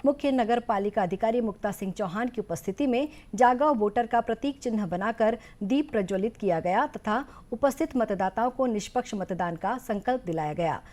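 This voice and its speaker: A woman news presenter reading scripted bulletin items in a formal, measured way. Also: fairly high pitch, animated, a little mellow.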